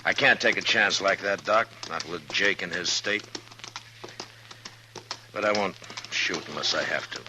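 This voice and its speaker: An actor playing a stern, steady American marshal of the Old West in 1950s radio western style, speaking firmly and deliberately. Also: male voice, low voice, noisy background. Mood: grimly resolute. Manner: firm, deliberate, commanding. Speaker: lead actor and first-person narrator. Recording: old radio broadcast with music.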